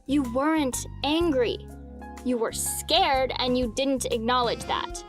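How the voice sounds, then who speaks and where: A woman playing a calm, wry, seasoned mentor, assured and blunt, in a dramatic staged reading. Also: sits high, takes it slowly, soft background music.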